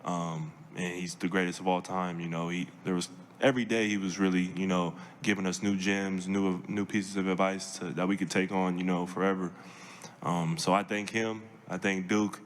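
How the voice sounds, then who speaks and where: A young man from Seattle answering reporters' questions at a press conference, measured, with many fillers and restarts. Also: low-pitched, little pitch movement, slight background noise. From Seattle, Washington. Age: young adult. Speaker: draft pick at press conference.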